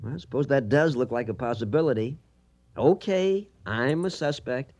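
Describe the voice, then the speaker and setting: Animated, suspicious American archaeologist, acted by a man. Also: mid-range voice, normal speed, lo-fi sound, lightly acted. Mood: wry. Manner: animated, emphatic, insistent. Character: lively, argumentative American archaeologist, suspicious male deputy. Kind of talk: radio drama acting.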